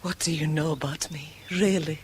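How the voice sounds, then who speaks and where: A woman's voice: deep voice, steady tone, moderate pace, close to an everyday voice. Actress as a guarded, alluring woman. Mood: guarded, searching. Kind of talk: 1970s British radio drama acting. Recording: faintly noisy old radio recording.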